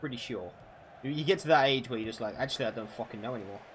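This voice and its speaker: A man's voice: medium pitch, rapid-fire, a little hiss or hum. Chatty, swearing young male streamer. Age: 20s. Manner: chatty, swears freely, answers viewers' questions. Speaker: gaming streamer. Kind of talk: casual live-stream chat over a football game.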